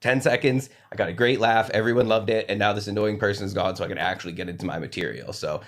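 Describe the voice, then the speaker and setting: A man with a somewhat low voice talks as a stand-up comic guesting on a podcast. His delivery is casual and anecdotal, wandering from point to point. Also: fast.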